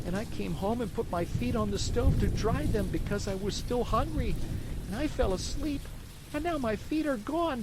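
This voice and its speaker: A man voicing a fussy, hungry wooden boy puppet in a storybook reading, whining and pleading in a high, excitable voice. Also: heavy background noise.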